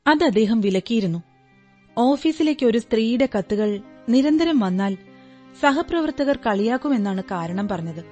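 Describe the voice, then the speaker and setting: Woman playing a high-voiced, earnest young bride-to-be. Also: expressive, normal speed, mellow, natural voice. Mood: fond, earnest. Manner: earnest, polite, detailed recounting. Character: earnest, devoted, trusting female client, young bride-to-be. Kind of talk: radio drama acting. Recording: radio drama with background music. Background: music.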